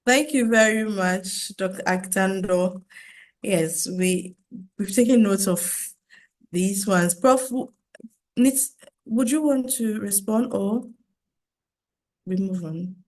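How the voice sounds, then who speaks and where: A woman moderates a panel, slowly and politely thanking the last speaker and passing the floor. She sits a little away from her microphone, so her voice sounds distant. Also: mid-range voice, a little mellow.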